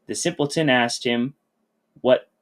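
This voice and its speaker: A man narrates a children's fairy tale from a script. His voice has an average, middle pitch and he reads as a storybook narrator. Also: measured pace, clean tone. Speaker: storybook reader.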